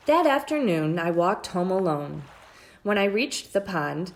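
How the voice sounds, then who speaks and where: A woman reads a children's story aloud in a measured, expressive storytelling style, drawing out her phrases. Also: lowish voice, a little hiss or hum. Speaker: storybook reader.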